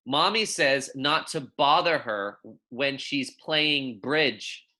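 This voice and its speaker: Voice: medium pitch, slow pace, sharp tone. A teacher giving a read-aloud lesson for young children in a friendly, informal, encouraging way. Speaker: elementary school teacher.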